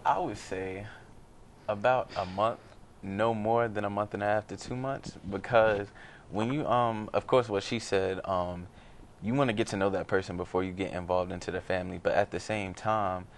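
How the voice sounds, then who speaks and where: A man's voice: fairly low pitch, steady tone, moderate pace. A man in his early twenties giving his opinions as a guest in a casual group chat. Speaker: panel guest. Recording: faint background noise, room ambience.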